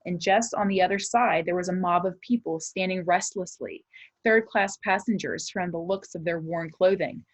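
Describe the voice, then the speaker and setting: A woman with a low-pitched voice speaks as an elementary teacher, explaining and reading a children's story. She addresses pupils in simple, clear-cut terms. The recording is dry, with little room sound. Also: normal speed, dry recording.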